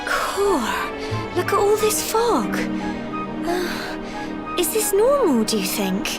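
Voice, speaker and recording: An actress playing a plucky young village girl in a video game, with a chatty, expressive, folksy way of talking. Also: extremely high pitch, slow pace, full character voice. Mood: chatty, upbeat. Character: cheerful plucky village girl on coming-of-age climb. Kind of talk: video game cutscene voice acting. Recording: game music behind the voice.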